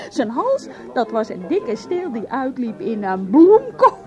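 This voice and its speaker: A woman's voice: a little high, wildly swooping pitch, a little slow, a little mellow. A woman playing an emotional, rambling woman who confides her feelings in a radio drama. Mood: giddy, dreamy. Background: music.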